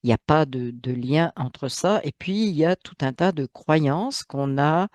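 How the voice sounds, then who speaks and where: Man talking slowly with many pauses. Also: a little high, darkish tone, no echo. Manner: hesitant, many fillers and restarts, emphatic asides. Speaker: channel host. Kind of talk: solo spoken monologue.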